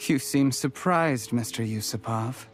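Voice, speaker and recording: A man's voice: mid-range voice, measured pace, lightly acted. Guy acting as bitter ambitious underling in a game, formal n controlled, mid-range voice, bit of music behind. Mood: bitter, defiant. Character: outwardly deferential, bitter, ambitious male underling and schemer.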